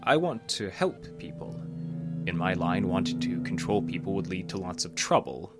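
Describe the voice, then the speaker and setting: An actor playing a calm, probing male psychologist in an audio drama, with a measured, patient, clinical way of questioning. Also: sits low. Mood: gently firm. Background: music.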